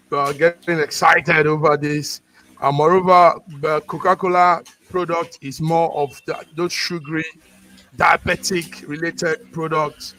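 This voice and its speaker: A man hosts a news discussion in a Nigerian accent. His voice sits a little high for a man. He moderates in a halting way, pausing to search for the next word. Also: a bit bright, low-quality recording. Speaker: host.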